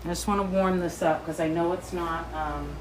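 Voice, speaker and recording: A woman with a light Boston accent hosting a live cooking demo from her kitchen, chatty and excitable as she talks to viewers. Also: fairly low pitch, normal speed, background noise. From Boston area. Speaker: cooking show host. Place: home kitchen, indoors.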